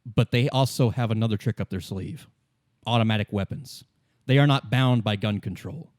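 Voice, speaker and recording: A man's voice: lowish voice, normal speed, a little mellow, dry recording. Man game master with a dry, close sound. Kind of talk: improvised tabletop roleplay dialogue and explanation.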